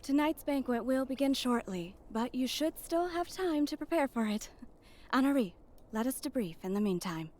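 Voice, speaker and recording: A courteous, gracious hostess from a fantasy visual novel, acted by a woman. Her voice sits a little high. She speaks with polite formality. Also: animated, moderate pace, a little mellow. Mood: courteous, composed. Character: gracious, courteous female host in fantasy game. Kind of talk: visual novel character acting.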